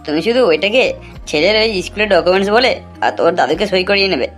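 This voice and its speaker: A woman acts out a sly, greedy male swindler in a cartoon. The delivery is quick, colloquial and taunting. Music sits behind the words. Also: on the low side, expressive, natural voice. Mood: scheming. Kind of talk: amateur cartoon voice acting. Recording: music under the voice.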